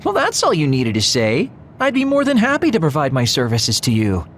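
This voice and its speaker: A man's voice: fairly high pitch, huge pitch swings, a little fast, a bit bright, a little hiss or hum. A man playing a flippant young rogue assassin in a video game, theatrical and teasing with mock hurt. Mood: playful, teasing. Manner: teasing, mock-wounded, smooth talking. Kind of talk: dramatic video game acting.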